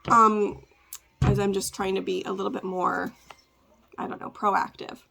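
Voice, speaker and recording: A woman with a congested, raspy voice, chatting casually as she packs orders to ship at home, rambling with lots of fillers. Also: mid-range voice, level tone, a little slow, bright, forceful tone. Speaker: part-time online reseller. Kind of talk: casual ship-with-me vlog chat.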